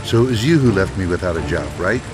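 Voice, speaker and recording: A man's voice: deep voice, expressive, quick pace. A man playing a stern, protective, middle-aged leader of masked vigilantes in a video game, commanding and dry. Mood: dry, watchful. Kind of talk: scripted video game voice acting. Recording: music behind game dialogue.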